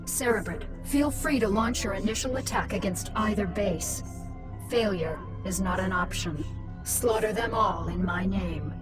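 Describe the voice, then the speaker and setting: A woman's voice: medium pitch, unhurried, close to an everyday voice. Actress doing a scheming evil alien queen for a video game, cold n menacing, music behind her, bit far off. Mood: commanding. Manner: menacing, mocking, commanding. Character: ruthless, scheming alien swarm queen, once human, cold and gloating. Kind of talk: dramatic video game voice acting.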